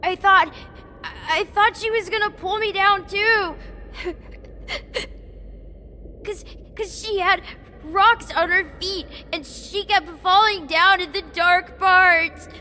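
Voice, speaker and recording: A woman voicing a timid, frightened young boy in a video game, halting and stammering anxiously. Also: very high voice, bright, forceful tone, a little hiss or hum, heavily acted. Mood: tearful fear.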